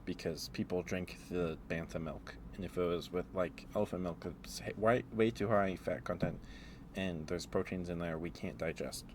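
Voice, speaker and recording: Very low-pitched man rambling in ASMR style. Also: background noise. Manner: halting, musing, thinking aloud. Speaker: livestreamer.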